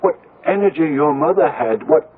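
A man's voice: on the high side, expressive, husky, phone-quality sound. An actor playing a hesitant, fearful, superstitious older father who stammers, in a 1970s radio drama. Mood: fearful conviction. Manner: halting, stammering. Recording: band-limited old radio broadcast.

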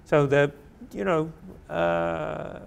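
Gravelly Norwegian man with halting speech. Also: fairly high pitch, level tone. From Norway. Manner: halting, many fillers and restarts. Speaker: guest anthropologist. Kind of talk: interview answers. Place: small plain room indoors. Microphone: lapel mic.

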